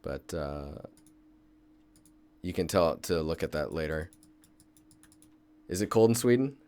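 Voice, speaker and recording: Young man, deep voice, smooth, casual desk-mic stream tutorial, thinks aloud. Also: lively intonation, takes it slowly, dark tone. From Seattle, Washington State, US. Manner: laid-back, thinks aloud while working. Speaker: game environment artist streaming. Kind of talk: casual live-stream tutorial, chatting with viewers.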